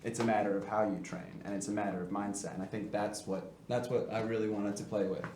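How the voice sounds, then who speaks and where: A man's voice: on the low side, steady tone, fast talker, a little mellow. A man from the cast reflecting earnestly on the script in a post-show Q&A, with many fillers. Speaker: actor in the cast. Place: theater stage. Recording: echoey room with faint noise.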